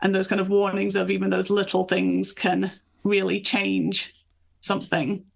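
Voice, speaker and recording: A woman with a mid-range voice and a noticeable creak talks as a guest author. She explains things in an enthusiastic, conversational way, as in an interview. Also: mid-range voice, monotone, moderate pace. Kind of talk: conversational interview chat about folklore.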